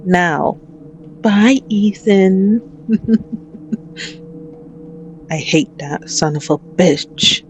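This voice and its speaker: A woman's voice: mid-range voice, extremely slow, pauses often, slight background noise, rough recording. A woman playing a possessive, manipulative female mafia boss in an ASMR audio roleplay, menacing and taunting with a mock-tender manner. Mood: mocking, contemptuous.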